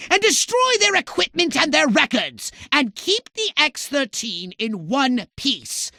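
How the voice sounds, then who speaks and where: A very high, bright-voiced alien commander, scheming and imperious. His pitch swings wildly as he hands out pompous, sarcastic orders in theatrical video game style, recorded very dry. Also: big pitch swings, a little slow, creaky, no echo, exaggerated voice. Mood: urgent, commanding. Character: shrill, bossy, scheming alien commander giving mission orders.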